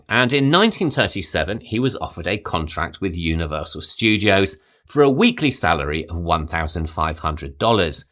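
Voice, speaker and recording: A man hosting a podcast, reading a scripted film history in a measured, storytelling way. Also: deep voice, a bit bright. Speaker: podcast host. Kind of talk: scripted narration.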